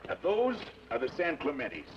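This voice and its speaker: An actor playing a wry, easygoing ranger hero in an old radio western, with a dry joking manner. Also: male voice, a little high, wildly swooping pitch, normal speed. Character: wry, easygoing Texas ranger hero, middle-aged man. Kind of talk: 1940s radio acting. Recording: dull old radio broadcast with faint hiss.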